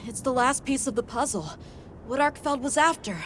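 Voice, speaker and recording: A woman's voice: sits high, normal speed, a bit bright, soft background music. A woman playing an earnest, determined young boy burdened by duty in a video game cutscene.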